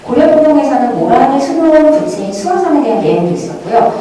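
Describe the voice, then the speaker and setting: A woman's voice: sits high, big pitch swings, fast talker, dark tone. Echoey hall recording of a woman lecturing. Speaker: academic presenter at a museum conference. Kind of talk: formal prepared lecture presentation. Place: large echoing indoor hall at a conference. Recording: very echoey hall, distant mic, faint noise.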